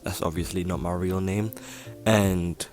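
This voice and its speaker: Young male YouTuber, very low and plain voice, loose rambling delivery, faint music bed. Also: fairly even intonation, a little slow, darkish tone. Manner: rambling, lots of fillers and self-corrections; says he has a really boring voice. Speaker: YouTuber narrating a fan story. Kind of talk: casual fan-fiction retelling.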